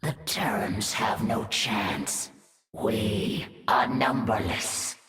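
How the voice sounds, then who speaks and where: An ominous alien warrior in a video game. The words come slowly and solemnly, washed in long echo and set back in distance, with proud menace. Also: male voice, fairly low pitch, fairly even intonation, crowd noise. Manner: slow, solemn, measured.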